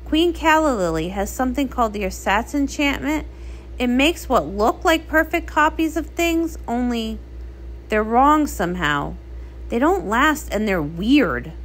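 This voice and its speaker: A woman's voice: a little high, lively intonation, measured pace, a little hiss or hum. Woman as cartoon sister pony, cool, practical, dry, matter-of-fact, varied intonation. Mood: explaining.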